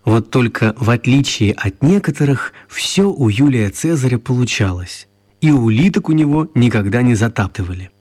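A male narrator with a slightly husky voice and medium pitch. He tells a scripted children's story expressively, in radio play style. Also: expressive, moderate pace, darkish tone.

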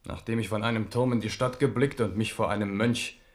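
A man's voice: fairly low pitch, fairly even intonation, brisk, natural voice. An actor playing a restless, self-doubting Renaissance French king in a radio play, in standard German with a brooding, ironic manner. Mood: brooding, uneasy.

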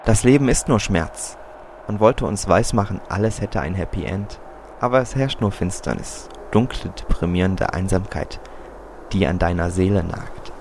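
A man acts a world-weary goth brooder with a very deep voice. He sounds morose and doom-laden in a comic radio play, over background noise. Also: moderate pace, darkish tone. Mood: bleak. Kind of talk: German fantasy parody audio drama.

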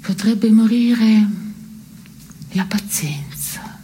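A woman's voice: mid-range voice, lively intonation, very slow, warm tone, slight background noise. An actress playing a dry, blunt woman in a philosophical theatre dialogue, terse and matter-of-fact. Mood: dry, serious. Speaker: stage actress.

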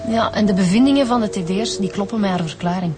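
An actress with a Flemish accent playing a businesslike, matter-of-fact police detective in a radio drama. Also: female voice, mid-range voice, quick pace, music in the background, close to an everyday voice. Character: level-headed, businesslike female police detective.

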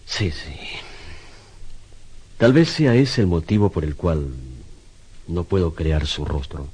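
A proud, obsessive sculptor from a radio play. The voice sits very low, mellow and husky, with a theatrical, brooding delivery full of self-doubt. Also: male voice, sing-song, slow, heavily acted. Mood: resigned. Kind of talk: Spanish-language radio drama acting.

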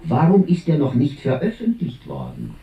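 An actor playing an accusing man who reads out an indictment in emphatic, deliberate rhetorical questions, in 1950s radio drama style. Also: mid-range voice, wildly swooping pitch, gravelly. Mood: accusing, solemn. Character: accusing male public voice reading a scandal indictment. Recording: dull, echoey 1950s recording, distant.

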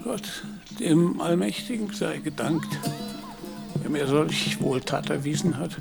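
Frail old knight on his deathbed, gravelly, measured, solemn, lucid and gentle, radio drama. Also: a little high, over loud music, exaggerated voice. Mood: solemn, grateful. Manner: solemn, measured, formal delivery. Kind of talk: literary radio play acting.

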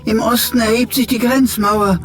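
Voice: medium pitch, fairly even intonation, normal speed, soft background music, close to an everyday voice. An actor playing a chatty, cheeky talking computer in a radio play, with flowery, mock-archaic, servile and theatrical speech. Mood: mock-solemn.

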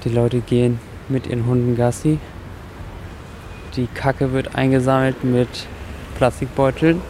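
A man reflects aloud in halting fragments. His voice is dark and his pace is slow. Faint outdoor noise surrounds him. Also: mid-range voice, level tone. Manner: halting, in short broken phrases. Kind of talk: unscripted, reflective talk.

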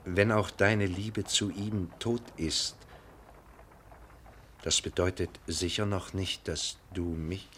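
An actor in a German radio play, playing a patient, lovestruck husband with a hesitant, self-deprecating way of speaking. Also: male voice, low-pitched, steady tone, slow pace, a bit bright, slight background noise.

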